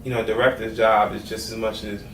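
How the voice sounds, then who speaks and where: Man, music executive guest, low flat gravelly voice, laid-back, far-off mic, noisy echoey room. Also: quick pace. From Trinidad and Tobago, raised in Brooklyn. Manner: laid-back, conversational, many "you know what I mean". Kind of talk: casual interview answers.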